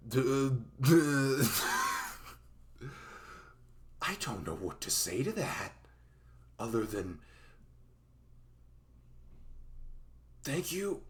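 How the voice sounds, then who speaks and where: A man playing a dorky, smitten young best friend in an intimate ASMR roleplay, speaking in a halting, awkward, rambling way. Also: medium pitch. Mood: flustered, giddy.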